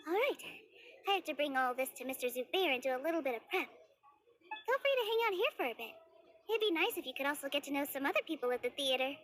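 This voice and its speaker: A woman voicing a kind, earnest young female theater dancer in a video game, speaking in a gentle, friendly manner. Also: high voice, a little hiss or hum. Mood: reassuring. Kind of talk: video game character dialogue.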